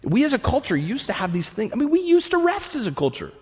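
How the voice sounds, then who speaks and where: A man in his thirties, a pastor preaching a sermon to a room, conversational with asides, swinging from loud bursts to hushed lines. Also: extremely high pitch, huge pitch swings, fast, breathy edge. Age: 30s. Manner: conversational with frequent restarts and asides. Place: church hall with an audience. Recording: long reverb of a large hall.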